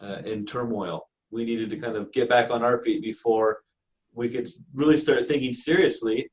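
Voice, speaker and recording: Halting American man giving a lecture. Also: medium pitch, steady tone, a little mellow. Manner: halting, hesitant delivery with frequent filler phrases. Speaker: university lecturer in special education. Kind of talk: classroom lecture.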